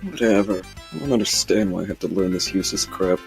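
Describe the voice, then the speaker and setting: A man's voice: deep voice, fast, vocal fry, soft background music. A man voicing an impatient, foul-mouthed young troll trainee in an amateur cartoon comic dub. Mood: irritated.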